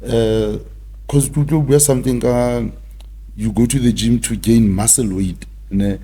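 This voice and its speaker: A man's voice: mid-range voice, steady tone, measured pace, mellow, husky. A man with a South African accent hosting a casual interview, asking questions in hesitant phrases and mixing in a local language. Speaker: talk show host.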